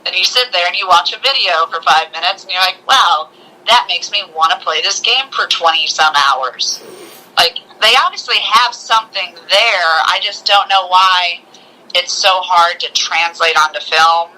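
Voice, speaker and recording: A woman co-hosting a casual movie discussion, talking in an excitable way and acting out little quotes. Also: lowish voice, normal speed, poor audio. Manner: excitable, acts out little quotes as she talks. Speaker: co-host of a movie review show.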